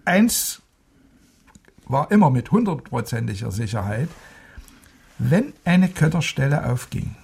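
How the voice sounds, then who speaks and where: Gravelly, husky older man reminiscing. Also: medium pitch, very animated, a little slow, pauses often, a little mellow. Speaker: veteran radio sound engineer. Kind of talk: animated reminiscing among colleagues.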